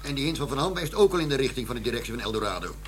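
An actor in a Dutch radio drama playing a dry, sardonic police investigator with a precise manner. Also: male voice, mid-range voice, lively intonation, rapid-fire, lightly acted. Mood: dry, assertive. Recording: background noise and hum.

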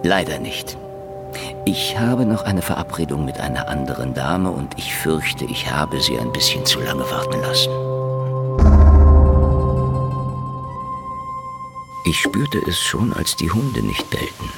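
A gravelly old private eye, sardonic and menacing, with a voice that swings widely. He is a hard-drinking wisecracker from a noir radio play, with music behind him. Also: rumbling low, very animated, normal speed, full character voice. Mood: grim narrating. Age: older. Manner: sardonic, taunting, wordy wisecracks. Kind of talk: hard-boiled German radio drama acting.

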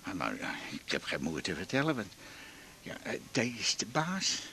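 An actor playing a henpecked, coarse husband in a Dutch radio drama, flustered and stammering. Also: male voice, fairly low pitch, wildly swooping pitch, pushed and bright, a little hiss or hum, exaggerated voice. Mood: sheepish, halting. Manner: stammering, halting, blurting. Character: henpecked, flustered, coarse husband under his wife's thumb.

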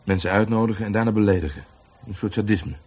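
An actor playing an easygoing, patient police sergeant in a radio drama, putting questions in an informal way. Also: male voice, on the low side, fast talker, a little mellow, slightly rough. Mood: probing, calm. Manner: informal, probing.

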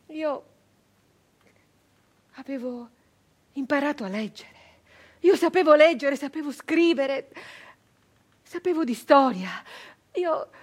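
An actress playing an embittered, defiant nineteenth-century southern Italian brigand woman in a theatrical monologue, with insistent repetitions and weighty pauses. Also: high voice. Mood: wistful, proud.

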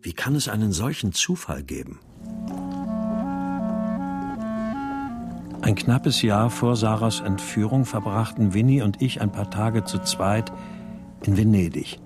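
An actor playing a troubled husband searching for his missing family, narrating and speaking in a measured, brooding way in a radio crime drama. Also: lowish voice, animated, over music, natural voice. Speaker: lead actor and first-person narrator.

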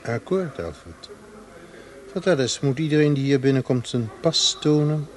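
An actor in a Dutch radio play, playing a middle-aged father and ambitious office gentleman, anxious and condescending. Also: mid-range voice, unhurried, natural voice. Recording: noisy old radio play recording.

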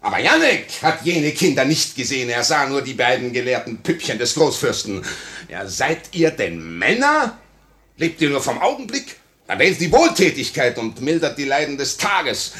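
Fiery fanatical revolutionary, sweeping pitch. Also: male voice, a bit bright. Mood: scornful, impassioned. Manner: declamatory, emphatic, rhetorical. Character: bitter, uncompromising Russian revolutionary, ex-prisoner, fanatical. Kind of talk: 1950 radio play acting. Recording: vintage 1950 radio recording.

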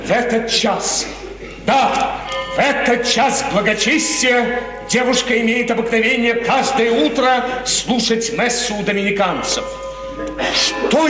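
An actor playing an impulsive, lovesick and imperious young prince, impatient and theatrical in 1950s radio drama style. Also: male voice, high voice. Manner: theatrical, excitable, hurried commands. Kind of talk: 1950s Russian classical radio drama acting. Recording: reverberant old radio recording, distant, music behind.

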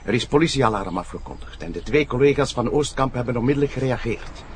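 An actor playing a dutiful, precise and formal police sergeant with a Flemish accent in a radio crime drama. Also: male voice, medium pitch, lively intonation, quick pace, lightly acted. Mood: factual. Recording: noisy ambience behind.

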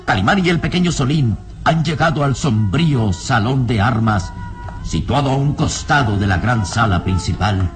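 A man's voice: medium pitch, lively intonation, normal speed, over music, lightly acted. A man narrating an old-time Spanish-language radio serial in a solemn, suspenseful, scripted style.

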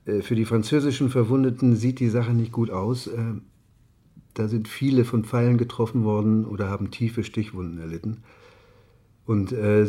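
A man's voice: on the low side, warm tone, close to an everyday voice. A man playing a matter-of-fact studio doctor in a radio play, clinical and searching for words. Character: matter-of-fact male studio doctor explaining battle wounds. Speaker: studio medical expert. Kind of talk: acted expert interview in a radio play.

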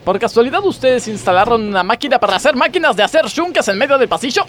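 Actor as a high-voiced pompous Argentine boss man. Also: expressive, quick pace, fairly bright tone, a little hiss or hum. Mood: pompous, stern. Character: blind factory boss, male, pompous and stern. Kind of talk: comedic radio theatre acting.

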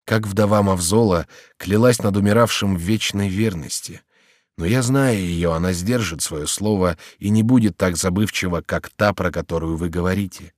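A man's voice: sits low, no room sound, studio quality, lightly acted. A man voicing a grave old servant. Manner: measured, soothing, solemn. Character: older male servant, calm, grave and compassionate.

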